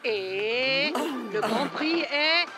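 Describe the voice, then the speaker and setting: A woman's voice: high-pitched, lively intonation, drawn-out words, music in the background, heavily acted. A woman playing a showy TV entertainment presenter in a cartoon dub, announcing with grand, teasing showmanship. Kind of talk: animated cartoon dub acting.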